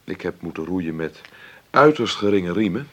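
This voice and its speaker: An actor playing a wry, stubborn middle-aged film maker who talks haltingly and conversationally in a radio play interview. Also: male voice, low voice, animated. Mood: stubborn, defiant. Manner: conversational, halting, adds tag questions. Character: celebrated 53-year-old film maker, wry, stubborn, self-made. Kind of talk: radio drama played as an interview.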